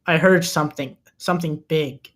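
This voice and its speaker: A man's voice: on the high side, steady tone, normal speed, fairly bright tone. A man playing an exasperated, practical amateur cameraman in a comedic table read. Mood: deadpan.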